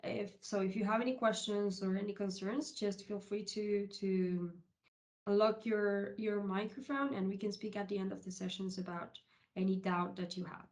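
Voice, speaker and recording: She is a woman of thirty-one from Uruguay, speaking with a Uruguayan Spanish accent. Her voice sits at an average pitch for a woman. She presents informally in an online session, explaining things step by step with some hesitation. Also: flat delivery, normal speed, a little mellow. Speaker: presenter, HR technology consultant. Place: online video call session.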